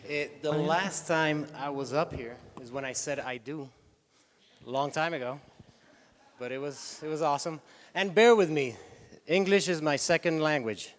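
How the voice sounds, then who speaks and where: A man's voice: on the high side, moderate pace. A man with a Spanish accent, whose first language is Spanish, gives a loose, chatty stage talk, often restarting his sentences. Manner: loose, chatty, self-correcting. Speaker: tour company head giving a talk. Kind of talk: stage presentation. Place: hall with audience. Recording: reverberant hall.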